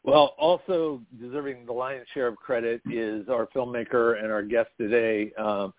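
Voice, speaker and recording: A man hosting a radio interview, introducing a guest and asking questions with halting restarts. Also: mid-range voice, fairly even intonation, no echo. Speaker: radio host. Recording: dry phone-like sound.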